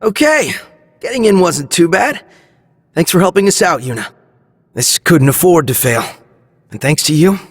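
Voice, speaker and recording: An actor playing an earnest, resolute young male squad leader in a video game cutscene, with a husky voice. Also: fairly high pitch, expressive, normal speed, long pauses, in a large hall. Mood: grateful, friendly.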